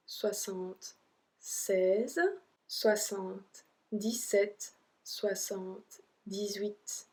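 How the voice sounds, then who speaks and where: A young woman with a French accent, teaching an online language lesson, drilling number words slowly with chatty asides. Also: mid-range voice, a little mellow. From French-speaking Europe.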